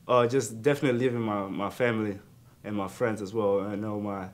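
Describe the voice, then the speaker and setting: A teenage boy from Australia talks about school life in a low, flat voice. He hesitates often and pads his answers with fillers. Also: a little fast. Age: teens. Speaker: student athlete. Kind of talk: interview answer.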